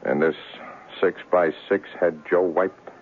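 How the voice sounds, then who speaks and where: Hard-boiled noir detective, low voice, cynical and clipped, muffled old radio broadcast. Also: male voice, fairly even intonation, slow pace, a little hiss or hum. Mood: tough, curt. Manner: clipped slangy tough-guy talk. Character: tough hard-boiled male private investigator, cynical and cocky. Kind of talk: 1970s radio drama acting, noir narration.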